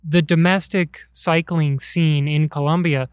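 Slightly high-voiced male podcast host. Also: fairly even intonation, unhurried, clean tone, dry recording, clean studio sound. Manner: chatty, curious, asks questions. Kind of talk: conversational podcast hosting.

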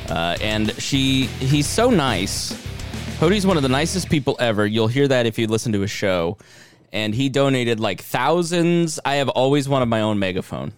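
A man's voice: medium pitch, animated, moderate pace, clear, resonant tone, no echo, faint music behind. A middle-aged man hosting a podcast, reading promos and announcements. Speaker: podcast host.

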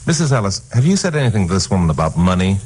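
An American actor playing a wry, hard-boiled crusading newscaster in a punchy 1950s radio crime drama. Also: male voice, low voice, sing-song, very fast, a little mellow, a little hiss or hum, low-quality recording. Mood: brisk, probing. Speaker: actor narrating and playing the lead. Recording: 1950s radio recording with music.

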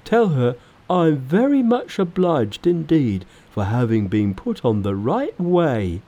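A man's voice: fairly high pitch, very animated, takes it slowly, dark tone, pitched above the performer's own voice, full character voice. A man voicing a blunt, grumbling, boastful pig creature in a storybook reading. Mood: curious, earnest. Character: blustering, grumbling male pig monster disciple. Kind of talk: storybook narration with character voices.

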